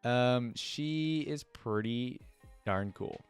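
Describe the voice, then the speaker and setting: A man's voice: mid-range voice, very slow, clean tone, no echo, faint music behind. Enthusiastic casual man, dry close sound. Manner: informal, enthusiastic. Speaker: reaction video host. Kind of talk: casual fan commentary alternating with story read-aloud.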